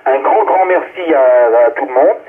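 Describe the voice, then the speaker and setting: A man's voice: mid-range voice, moderate pace. Male radio amateur, brief check-in delivery, narrow tinny relay audio. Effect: two-way radio.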